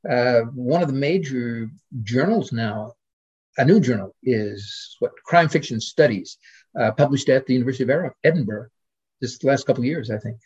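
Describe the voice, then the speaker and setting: A man speaking as a guest scholar in an informal academic discussion, in a hesitant, digressive manner with a husky voice. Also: medium pitch, moderate pace, darkish tone, muffled recording.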